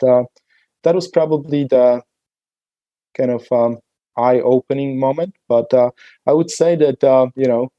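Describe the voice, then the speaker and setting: A man from Poland, speaking as a podcast guest in a relaxed, hesitant way with many fillers. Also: medium pitch, dry recording, poor audio. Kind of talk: conversational podcast interview.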